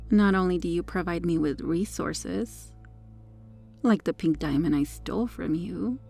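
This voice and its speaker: A woman's voice: medium pitch, creaky, dark tone. A woman playing a sweetly menacing, possessive superheroine kidnapper in an intimate ASMR roleplay, coy, smug and coaxing as she lingers over her words.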